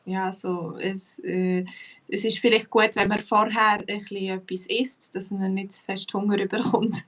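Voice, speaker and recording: A woman with a Swiss German accent hosts a casual live chat. She talks in a relaxed, unhurried way, often pausing on fillers. Her voice sounds a little distant from the mic, as on a video call. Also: mid-range voice, a little hiss or hum. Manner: relaxed, hesitant with many fillers, laughs easily. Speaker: host and Swiss German teacher.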